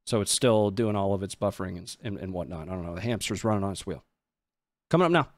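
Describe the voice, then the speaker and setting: A man's voice: deep voice, lively intonation, fast talker, no echo. A man in his thirties giving casual live commentary on footage as a stream host, speaking into a close desk microphone. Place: small indoor studio. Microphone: close desk microphone on boom arm.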